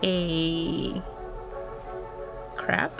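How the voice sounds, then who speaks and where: A woman's voice: low-pitched, flat delivery, very slow, creaky. A woman chatting casually as a tabletop game player, thinking aloud about her moves. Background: music.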